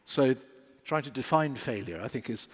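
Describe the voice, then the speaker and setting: A man in his fifties, a coach speaking on a panel, measured with slight restarts. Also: medium pitch, lively intonation, breathy edge. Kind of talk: panel discussion. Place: large hall on stage. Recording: hall reverb.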